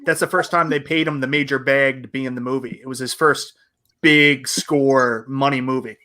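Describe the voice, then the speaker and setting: A man's voice: medium pitch, fairly even intonation, moderate pace, pushed and bright. A man co-hosting a casual panel chat, opinionated, with a wry joking manner. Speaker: podcast co-host.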